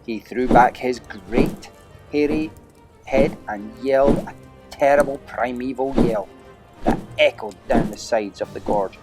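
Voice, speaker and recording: A man's voice: medium pitch, unhurried, music in the background. A man narrating an adventure audiobook as a dramatic, theatrical storyteller with emphatic delivery.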